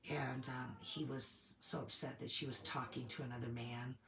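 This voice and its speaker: A woman with a low voice, a little hoarse from a lingering cold, talks through her opinions in a measured, thinking-aloud way. She sounds like a casual commentary host. The recording carries a roomy echo. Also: fairly even intonation, crowd noise. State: getting over a cold, slightly hoarse.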